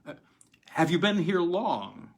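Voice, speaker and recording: A man's voice: on the high side, very animated, slow, breathy edge, pitched above the performer's own voice, exaggerated voice. A man reading a story voices a curious, wry young American villa buyer who questions and argues. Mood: questioning.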